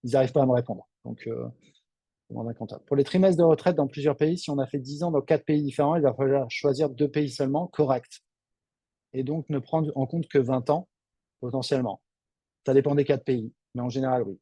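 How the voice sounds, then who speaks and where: A man's voice: mid-range voice, a little fast, warm tone, breathy edge, no echo. A man from northern France, hosting a webinar as a financial adviser, reading out questions and answering them in a frank, off-the-cuff, conversational way. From Lille, northern France.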